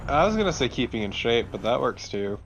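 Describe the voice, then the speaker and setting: Male co-commentator, mid-pitched, casual and foul-mouthed gaming banter, noticeably noisy recording. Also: sing-song, a little fast, muffled recording. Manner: casually sweary. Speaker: let's play co-commentator.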